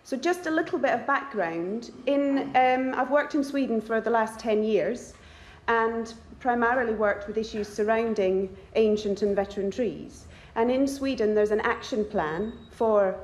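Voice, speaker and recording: A woman's voice: mid-range voice, a little mellow. A woman, a nature conservationist, giving a conference talk in a chatty, self-deprecating way. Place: lecture hall. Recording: roomy hall sound.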